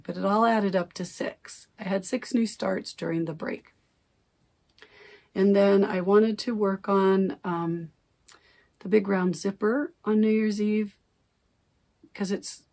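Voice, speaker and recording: Chatty woman with a gravelly voice. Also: mid-range voice, darkish tone. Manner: rambling, self-correcting, chatty. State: recovering from lost voice. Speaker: cross-stitch vlogger. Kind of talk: casual chat. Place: home craft room, indoors. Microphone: camera at desk distance.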